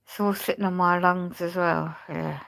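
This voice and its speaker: A woman chatting casually on a livestream, talking in a halting, rambling way while she loses her voice. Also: on the low side, fairly even intonation, fairly bright tone. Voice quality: gravelly. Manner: rambling, halting speech with many restarts. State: losing her voice. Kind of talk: casual livestream chat with viewers.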